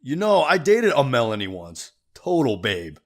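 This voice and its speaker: A man's voice: mid-range voice, huge pitch swings, normal speed. An actor playing a dim, squeamish, easygoing dude-bro best man with a dopey, slangy manner in an audio drama. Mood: dopey, distracted.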